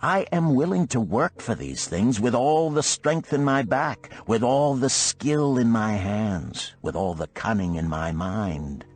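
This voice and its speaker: A man plays a middle-aged craftsman of ancient Babylon, weary of being poor. He declaims earnestly in archaic phrases, with pitch that rises and falls widely. The pace is measured, as in an old parable. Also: animated. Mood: yearning, sad.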